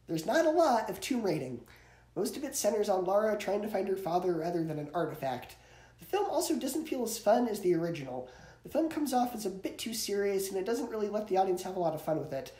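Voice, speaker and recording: A man's voice: sits high, warm tone. A man, giving a casual, opinionated scripted movie review as a countdown. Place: small indoor bedroom with posters. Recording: roomy, echoey home recording.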